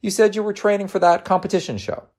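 A man voicing a gentle, teasing working mother in a storybook reading, coaxing and reassuring. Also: sits high, steady tone, fast, voice pushed up, close to an everyday voice. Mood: encouraging, playful.

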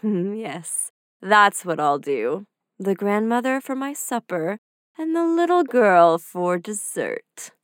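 A woman's voice: medium pitch, big pitch swings, takes it slowly, no room sound, clean studio sound. A woman voicing a cunning, hungry male wolf who speaks with sly, purring menace. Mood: scheming.

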